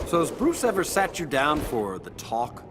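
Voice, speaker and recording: A breezy, bantering archer superhero in a video game. The voice is slightly high and very animated, with heavy noise behind it. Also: male voice, normal speed. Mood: flippant. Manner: flippant, bantering. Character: wisecracking male archer superhero, breezy and self-assured. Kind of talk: video game character dialogue. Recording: very noisy game battle effects behind.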